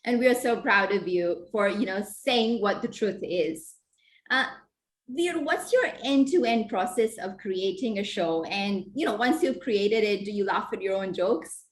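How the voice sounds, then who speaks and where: A woman with a mid-pitched voice, hosting an interview. She asks her guest long, winding questions that wander before landing. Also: unhurried.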